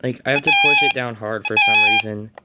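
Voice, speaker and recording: A man's voice: medium pitch, wildly swooping pitch, a little fast. Man muttering through a gadget setup, noisy recording. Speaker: hobbyist reviewer. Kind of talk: casual unboxing and setup commentary. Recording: noisy home recording with clicks and beeps.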